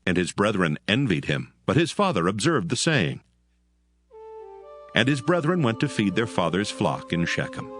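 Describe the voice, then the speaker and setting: A man's voice: on the low side, big pitch swings, normal speed, a bit bright, faint music behind. A man narrating a dramatized Bible story in a solemn storytelling style. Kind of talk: dramatic scripted Bible reading.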